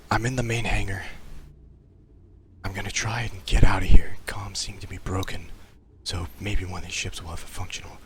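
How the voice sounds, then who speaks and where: A man's voice: deep voice, fairly bright tone. A man playing a bewildered, wary stranded sergeant in a sci-fi game roleplay, talking in a hesitant, casual way. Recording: noticeable background noise and ambience.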